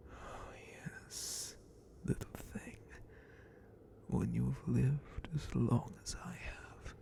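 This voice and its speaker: A man voicing an ancient, menacing, bored dragon captain in an ASMR roleplay, in a breathy, creaky whisper with a deliberate, condescending manner. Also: mid-range voice, darkish tone, full character voice. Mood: bored, possessive.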